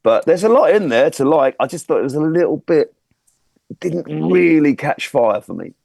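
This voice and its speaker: A man's voice: a little high, animated, moderate pace, creaky, darkish tone, no echo. A man giving an informal music critique as a record producer, blunt and colloquial.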